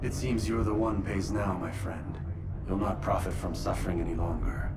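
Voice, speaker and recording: Deep, stern young assassin. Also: male voice, fairly even intonation, moderate pace, gravelly, over loud music. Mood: cold. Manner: terse, restrained, solemn. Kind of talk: video game dramatic acting. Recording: loud background noise.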